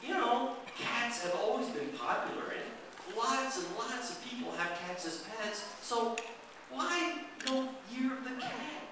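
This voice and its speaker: A man's voice: very high-pitched, very animated, measured pace, heavily acted. Male puppeteer as curious puppet boy, gee-whiz manner, swooping intonation, very echoey distant recording, children's show. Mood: amazed, curious. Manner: exaggerated, wondering, gee-whiz delivery. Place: large indoor library hall, live stage. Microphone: distant camera microphone. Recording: echoey hall from far off, noisy.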